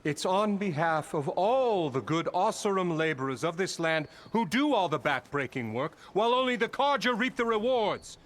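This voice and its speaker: Pompous, self-important boss; slick and flattering, pitch rides high and all over. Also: male voice, moderate pace, hardly any pauses. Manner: grandiose, flattering, slyly evasive. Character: pompous blustering middle-aged male labor boss. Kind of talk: video game dialogue.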